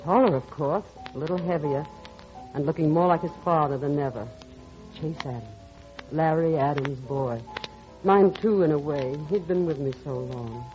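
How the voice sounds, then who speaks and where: A woman's voice: deep voice, moderate pace, warm tone, natural voice. An actress playing a reflective, affectionate stepmother in 1940s radio drama style. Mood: wistful, reflective. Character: warm reflective stepmother recalling her past. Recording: dull old radio recording, music behind.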